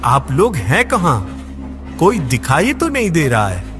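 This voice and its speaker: Man acting uneasy, high-voiced night traveler. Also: huge pitch swings, unhurried, over music. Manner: questioning, nervous. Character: uneasy, puzzled male traveler at night station. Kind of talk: Hindi animated horror story dialogue.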